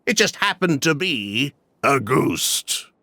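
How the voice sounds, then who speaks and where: A man's voice: mid-range voice, big pitch swings, slow, bright, forceful tone, a little hiss or hum, exaggerated voice. A man voicing a slick, theatrical merchant schemer in a video game, talking glibly and dramatically. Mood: glib, self-justifying. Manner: glib, theatrical. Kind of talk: animated video game dub acting.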